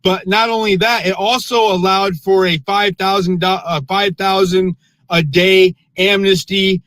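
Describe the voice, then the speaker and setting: A man in a live online audio room, lecturing forcefully in a heated political argument and restarting his sentences with repeated words. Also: high-pitched, flat delivery, measured pace, no room sound. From United States. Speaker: panelist in live audio space.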